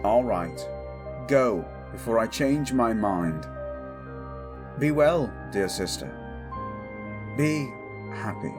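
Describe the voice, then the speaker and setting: A man's voice: mid-range voice, lively intonation. A man playing a protective, stubborn young king in a romantic audio drama, formal, earnest and tender. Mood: tender, teasing. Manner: formal, measured, earnest phrasing. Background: background music.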